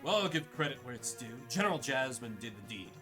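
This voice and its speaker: A man's voice: mid-range voice, big pitch swings, fast, sharp tone. A man voicing a gloating, menacing male traitor lord in a fantasy game. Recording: game music behind the voice.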